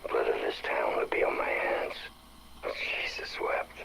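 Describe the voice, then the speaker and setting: A man playing a troubled, principled small-town banker in a video game audio log, confessing haltingly in a hushed, anguished way. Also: very deep voice, fairly even intonation, gravelly, whispered, heavily acted, rough recording. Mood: frightened, despairing.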